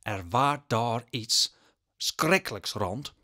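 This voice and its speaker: An actor playing a worried old peasant father in an audio drama, halting and rustic, pleading with exasperation. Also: medium pitch, expressive, sharp tone, heavily acted. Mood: exasperated, scolding. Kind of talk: Dutch audio drama acting.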